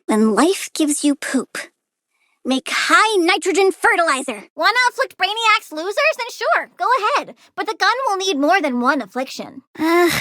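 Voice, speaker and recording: A woman's voice: high voice, fairly bright tone, no room sound, studio quality, exaggerated voice. A woman playing a childlike, bratty young plant-girl villain in a video game, perky, blunt and gleefully bloodthirsty. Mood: petulant, huffy. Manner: petulant, perky, blunt, sassy. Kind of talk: video game character voice lines.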